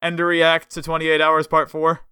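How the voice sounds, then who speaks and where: A young man hosting a casual podcast, chatty and enthusiastic with a rambling flow. His voice is bright and crisp. The sound is dry and close. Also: on the high side, completely flat delivery, moderate pace, no echo. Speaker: podcast host.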